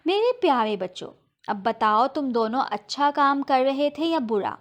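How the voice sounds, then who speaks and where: A disappointed old grandmother from a moral storybook, played by a woman narrator. She admonishes and instructs children in a raised, fairly high voice. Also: animated, normal speed. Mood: admonishing.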